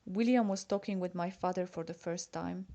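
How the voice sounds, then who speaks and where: Mellow-voiced Polish woman reading a letter. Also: lowish voice, moderate pace. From Poland. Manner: chatty and confiding, addressing a friend. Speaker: letter writer. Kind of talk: reading a personal letter aloud.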